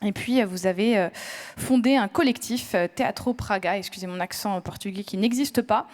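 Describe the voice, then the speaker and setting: A woman's voice: medium pitch, normal speed, darkish tone. Hesitant woman moderating a panel. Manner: hesitant, many "euh" fillers and restarts. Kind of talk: introducing panelists at a round table. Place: conference room with audience.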